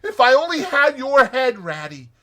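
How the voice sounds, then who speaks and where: A man's voice: very high-pitched, big pitch swings, normal speed, fairly bright tone, voice pushed up, full character voice. A man voicing a naive, fussy male mole from a storybook, wordy and exclamatory in his delivery. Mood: amazed, admiring. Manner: wordy, indignant or gushing, exclamatory. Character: naive, fussy male mole, pettish yet easily amazed.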